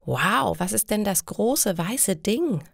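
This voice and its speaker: Woman voicing an eager, lively young storybook boy. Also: medium pitch, slow, creaky, no echo, studio quality, pitched above the performer's own voice, exaggerated voice. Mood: amazed, curious. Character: curious, excited young boy, the son. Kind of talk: storybook dialogue in a language lesson.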